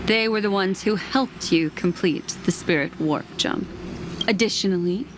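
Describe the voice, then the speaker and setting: A woman voicing a composed, secretive keeper of memories in a video game, speaking in a measured, formal way. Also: mid-range voice, noisy background, natural voice.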